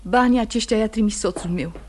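An actress playing a proud, distressed accused wife in a radio drama, speaking with restrained, earnest emotion. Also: female voice, medium pitch, a little mellow, lightly acted. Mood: firm, wounded. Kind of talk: mid-century radio theatre acting.

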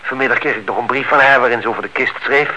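An actor playing a courteous, evasive married neighbour in a Dutch radio play, smooth-talking and guarded. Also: male voice, medium pitch, fast talker, sharp tone. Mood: casually evasive. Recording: dull, noisy old radio recording.

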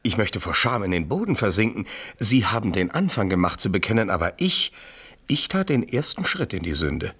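Pompous courtly marquis, period radio drama, husky low voice with very animated melody. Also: male voice, moderate pace, full character voice. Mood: contrite, relieved. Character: suave, self-satisfied French marquis, flattering faithless lover. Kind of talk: German radio play acting, period drama.

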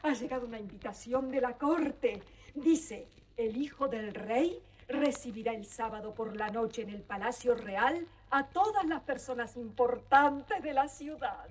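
A woman's voice: on the high side, a little slow, natural voice. A woman playing an arrogant stepmother in a children's audio storybook, declaiming grandly. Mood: excited, grand. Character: arrogant stepmother reading out grandly to her daughters. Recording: distant, faintly noisy old recording.

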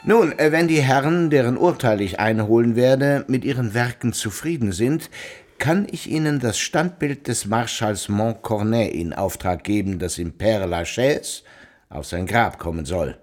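An actor playing a self-assured, amorous middle-aged baron with courtly manners in a German radio drama. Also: male voice, mid-range voice, lively intonation, unhurried, a little mellow, close to an everyday voice. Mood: patronizing.

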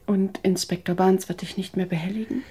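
An actress playing a hurt, distrustful, tired wife in a German radio drama. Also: female voice, medium pitch, steady tone, a little fast, warm tone, husky. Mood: guarded.

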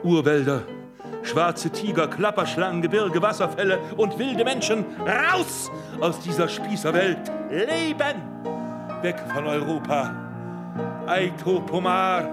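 An actor playing a megalomaniac criminal doctor in a radio drama, commanding and contemptuous in manner. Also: male voice, high voice, animated, takes it slowly. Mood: feverish, grandiose. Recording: music behind the voice.

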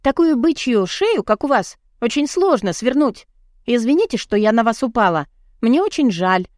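A woman's voice: fairly high pitch, sing-song, normal speed, darkish tone, no echo, clean studio sound. A woman voicing a flustered, indignant young woman in an audiobook, wordy and defiant, chattering in protest. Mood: flustered, apologetic. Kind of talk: audiobook character dialogue.

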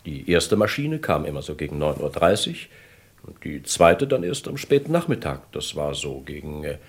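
An actor playing a cooperative kidnapping victim who hesitantly recalls details, in a vintage radio crime drama. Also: male voice, very deep voice, big pitch swings, moderate pace, husky, exaggerated voice. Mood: recalling.

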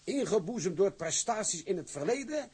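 An actor playing an excitable gentleman declaiming formal news with relish in a radio drama. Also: sits high, normal speed, slightly rough, heavily acted. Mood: triumphant. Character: excitable gentleman reading news aloud to sailors.

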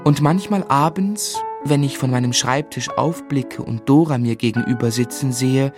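A man acts out an earnest young husband telling his life in a literary radio play. His delivery is measured and articulate, with a gently exasperated edge, at a medium pitch. Faint music can sit behind the voice. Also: lightly acted. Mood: reflective, wry. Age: young adult.

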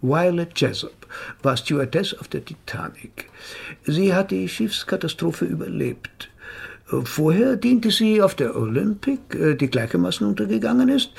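An actor playing a measured, sceptical psychotherapist in a radio play, speaking in a formal, pedantic, hedging manner. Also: male voice, a little high, vocal fry, husky, full character voice. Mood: lecturing. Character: measured, sceptical male psychotherapist analysing his patient.

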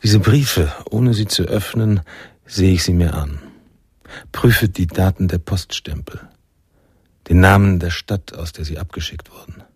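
An actor playing the reflective male narrator of a noir jazz radio play, with a deep, gravelly voice. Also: a little slow, no echo, studio quality, exaggerated voice. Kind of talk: scripted radio drama narration.

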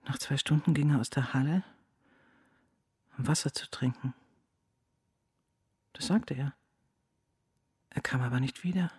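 Grieving middle-aged mom, low-key husky voice, dry close sound, plain halting radio monologue. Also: female voice, darkish tone, dry recording, full character voice. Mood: restrained grief. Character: grieving, quiet mother recounting her son's death. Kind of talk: radio drama monologue as a letter.

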